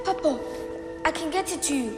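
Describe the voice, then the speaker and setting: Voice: high-pitched, fairly quick, close to an everyday voice. A performer playing a frightened, eager young boy, a warrior's son, pleading anxiously in a game. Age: child. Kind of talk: cinematic game voice acting. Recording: distant echoing scene, loud music.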